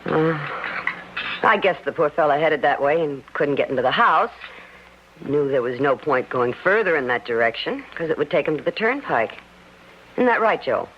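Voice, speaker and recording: An actress playing a sharp, scheming, domineering wife in a radio mystery drama. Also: female voice, sits low, animated, moderate pace. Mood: falsely sympathetic. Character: sharp, pushy, scheming wife covering up a secret. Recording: dull lo-fi radio recording with hiss.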